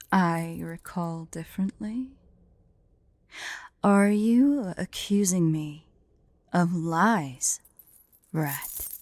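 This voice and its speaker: A woman playing a haughty, prickly but secretly fond female dragon in an ASMR roleplay, imperious and deliberate. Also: on the low side, darkish tone, no echo. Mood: indignant, threatening. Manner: imperious, indignant, slow and deliberate.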